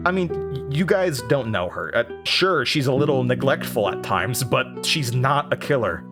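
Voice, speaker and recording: An actor playing an anxious, rattled man who haltingly recounts eerie memories, stammering and interrupting himself, in a horror audio drama. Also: medium pitch, lively intonation, loud music behind the voice, close to an everyday voice. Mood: agitated, alarmed. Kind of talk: first-person horror audio drama monologue.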